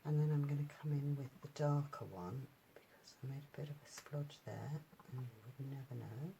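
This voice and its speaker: A woman from the UK talking quietly through a card-stamping tutorial, with murmured asides as she works. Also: very deep voice, a little fast, lo-fi sound, slight background noise. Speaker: craft demonstrator. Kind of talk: casual crafting tutorial.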